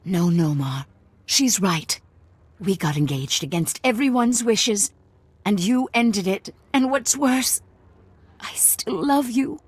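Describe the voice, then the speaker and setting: Husky, lovelorn middle-aged woman. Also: mid-range voice, lively intonation, slow pace, no echo. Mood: pleading, heartfelt. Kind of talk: dramatic video game cutscene acting.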